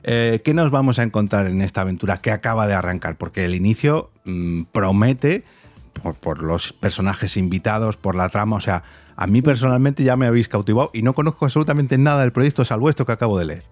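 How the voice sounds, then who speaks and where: A man's voice: fairly low pitch, fairly quick, darkish tone, dry recording. A man with an accent from Spain hosting a conversational interview in an enthusiastic manner. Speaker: podcast host and interviewer.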